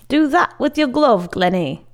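A woman's voice: on the high side, animated, unhurried, voice pushed up. A woman voicing a taunting, self-delighted young boy with mocking, insistent bossiness in a story reading. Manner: mocking, sing-song insistence.

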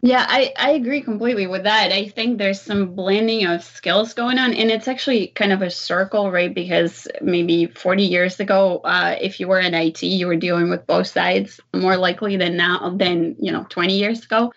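A woman's voice: medium pitch, fairly even intonation, moderate pace, few pauses, fairly bright tone, muffled recording. A woman who grew up in Ukraine and Israel, speaking as a guest in a podcast interview in a fluent, upbeat, matter-of-fact way. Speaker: guest, cloud engineer.

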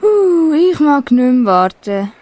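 A schoolboy with a Swiss German accent playing impatient, excitable wild animals in a children's radio play. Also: male voice, very high voice, slow, heavy background noise, exaggerated voice. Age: child or young teen pupil.

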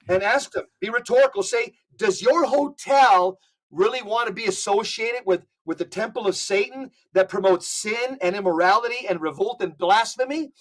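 A man speaking as a podcast guest, urging listeners with rapid lists of instructions. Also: high-pitched, fairly bright tone, poor audio. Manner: urgent, exhorting, rapid lists. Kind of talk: casual podcast talk.

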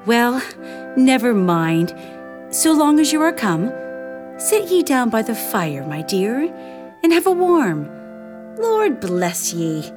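A woman playing a warm but sharp-tongued mother in a radio drama. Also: on the high side, very animated, takes it slowly, pauses often, music in the background. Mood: affectionate. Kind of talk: family radio drama acting.

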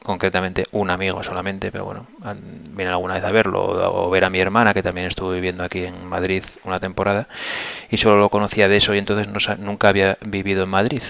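A hoarse man with a low, gravelly voice hosts a casual solo podcast into a headset mic. He rambles easily, with many pauses and restarts, and his tone stays flat and even. The sound is dry and close. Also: no echo. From Asturias, Spain. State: hoarse from a flu. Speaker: podcast host. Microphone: headset mic on a computer.

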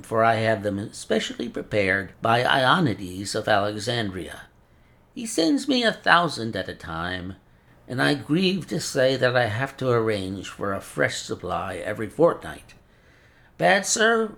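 A man's voice: mid-range voice, gravelly, a bit bright, heavily acted. A man voicing a frail, chain-smoking old male scholar who speaks in a precise, mincing way and laments effusively. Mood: genial.

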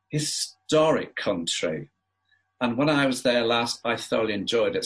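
A man hosting a podcast interview, talking in a rambling, halting way with many fillers. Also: medium pitch, low-quality recording. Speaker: podcast host. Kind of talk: casual interview.

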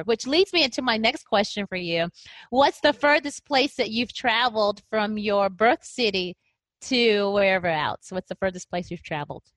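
A woman's voice: medium pitch, a bit bright, no echo, low-quality recording. A woman podcast host interviewing a guest, enthusiastic and affirming. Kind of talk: interview hosting. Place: lives in Guam.

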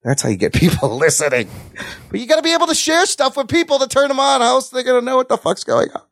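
A man hosting a podcast, chatting casually about news with co-hosts in an excitable, sweary way. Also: extremely high pitch, fast, gravelly, no echo. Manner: excitable, profane. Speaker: podcast host.

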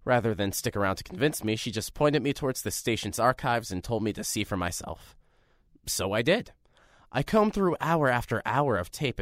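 A man's voice: fairly low pitch, no room sound, studio quality, close to an everyday voice. An actor playing a nervous, rambling young man filling in as a radio host in an audio drama. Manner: rambling, self-deprecating, lively.

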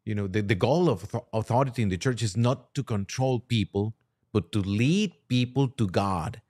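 A man with a fairly low voice speaks as a priest on a podcast. He discusses faith in a conversational, earnest way, often rephrasing his point. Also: moderate pace, a little mellow.

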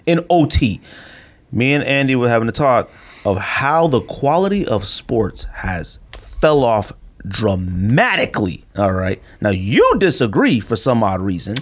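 Emphatic man with lively swooping pitch. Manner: emphatic, opinionated, repeats himself. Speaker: podcast co-host. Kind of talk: casual sports chat and debate.

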